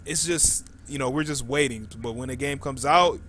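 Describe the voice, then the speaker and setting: A man's voice: mid-range voice, normal speed, fairly bright tone, slight background noise. A man hosting a live podcast in a casual, informal way with plenty of slang. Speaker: podcast host.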